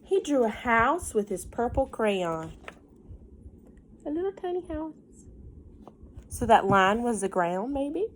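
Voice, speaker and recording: A woman's voice: fairly high pitch, sing-song, slow, long pauses, slight background noise. A woman reading a picture book aloud to young children like a teacher, breaking off for casual asides, simple explanations and questions.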